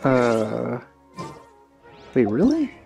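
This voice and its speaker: A man gives casual commentary while playing a video game. His voice is dark and relaxed, with offhand, muttered asides. Game music sits faintly behind him. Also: medium pitch, drawn-out words, creaky.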